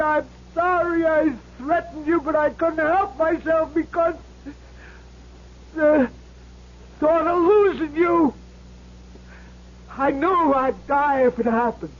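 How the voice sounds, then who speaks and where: An actor playing a jealous, vengeful gangster's ghost with streetwise slangy talk in an old radio drama. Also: male voice, extremely high pitch, takes it slowly, long pauses. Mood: anguished, pleading. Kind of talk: 1970s radio drama acting. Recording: muffled old radio broadcast recording.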